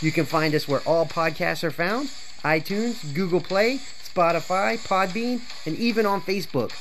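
A man's voice: high-pitched, normal speed, a bit bright. A man reading a scripted promo for his podcast in an upbeat way. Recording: promo with music underneath.

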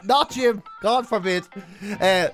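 A man's voice: sits high, takes it slowly, soft background music. A middle-aged Irish man chatting casually as a comic podcast host, joking, self-mocking and freely swearing. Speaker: podcast and radio host, comedian.

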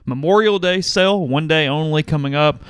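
A man co-hosting a podcast in a studio, easygoing and slightly hoarse, mixing casual talk with ad reads. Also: on the high side, normal speed, no room sound. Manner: relaxed, easygoing. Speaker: podcast co-host. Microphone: studio microphone.

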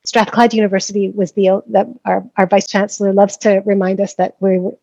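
Canadian-born woman in her middle years, gravelly voice, dull dry sound, keeps restarting sentences. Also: mid-range voice, little pitch movement, a little fast, a little mellow, no room sound. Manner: thoughtful, many restarts and fillers. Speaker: guest, professor of practice. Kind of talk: conversational interview.

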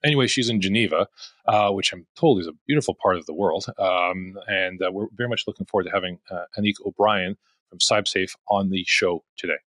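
Man, low voice, podcast host, dry close sound. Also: brisk, fairly bright tone, no room sound. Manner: friendly, stumbling with fillers and laughs. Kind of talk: casual podcast hosting.

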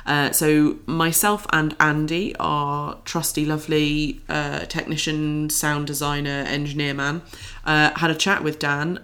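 A woman's voice: sits low, flat delivery, measured pace, few pauses, fairly bright tone. Enthusiastic woman hosting a podcast. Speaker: podcast co-host. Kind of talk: casual scripted-free podcast chat.

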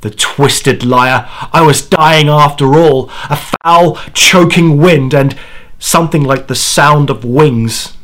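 A man playing an anguished, dying old grandfather in a first-person horror monologue, speaking haltingly and dramatically with repeated words. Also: a little high, fairly bright tone. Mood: bitter despair. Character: anguished dying old grandfather confessing his terrible bargain.

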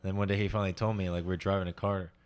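A man with a fairly low voice chats as a podcast co-host. His delivery is casual and joking, with crude humor and loosely told anecdotes. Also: flat delivery, very fast. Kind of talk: casual comedy banter and anecdotes.

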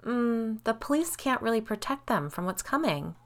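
A woman voicing an uncanny, sinister woman in a spooky story, calm, mocking and ominous. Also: a little high, normal speed, voice pushed up. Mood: coolly menacing. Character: sinister hooded woman, coolly taunting, uncanny impostor.

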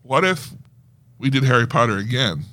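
A man's voice: mid-range voice, lively intonation, moderate pace, sharp tone, no room sound. A man co-hosting a podcast, chatting casually and wryly about movie news. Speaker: podcast co-host.

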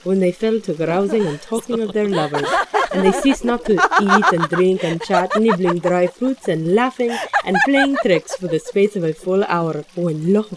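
A woman narrating a scripted story in expressive, archaic storytelling style, with music behind her. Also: fairly low pitch, normal speed, heavy background noise.

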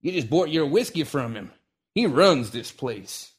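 A man voicing a grumpy old retired gunfighter, speaking in a slow drawl with folksy frontier grammar. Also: on the high side, sing-song, slightly rough, pitched above the performer's own voice, exaggerated voice. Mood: gruff drawl. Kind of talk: audiobook character voice.

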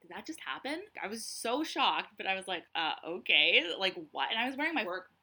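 A woman's voice: medium pitch, very animated, fairly quick, bright, forceful tone, husky. A young Canadian woman, a podcast guest, talking candidly and assertively in casual conversation. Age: young adult. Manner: candid, calls herself assertive.

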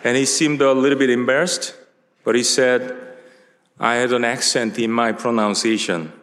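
A man in his fifties from South Korea with a Korean accent, preaching a relaxed, conversational sermon with many filler words. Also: mid-range voice, normal speed. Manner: relaxed, conversational, frequent uh and you know fillers. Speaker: pastor. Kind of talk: sermon with personal storytelling. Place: large church sanctuary at a pulpit. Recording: long hall reverb.

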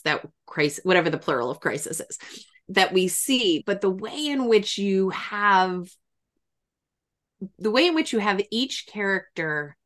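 A woman's voice: on the low side, fairly bright tone. A woman hosting a reflective interview, speaking in an effusive, emphatic way. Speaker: interviewer, podcast host.

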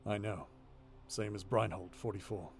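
A man's voice: fairly low pitch, fairly even intonation, normal speed, gravelly, soft background music. A man playing a stern, composed military captain in an audio drama. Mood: grave.

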